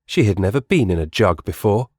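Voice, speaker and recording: A man's voice: lowish voice, lively intonation, a little fast, darkish tone, breathy edge, dry recording, studio quality. A man reading scripted storybook narration as the narrator of an audiobook.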